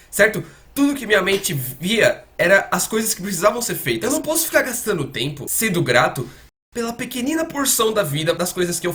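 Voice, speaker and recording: A man's voice: sits high, fairly bright tone. A man with a Brazilian accent dubbing an earnest psychiatrist coach, explaining conversationally and emphatically with repeated words and rhetorical questions. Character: earnest male psychiatrist coaching gamers on habits. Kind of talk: conversational self-help explainer, dubbed.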